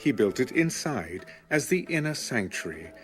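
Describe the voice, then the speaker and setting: A man's voice: mid-range voice, very animated, moderate pace. A man giving a scripted narration of scripture as an audio Bible narrator. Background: music.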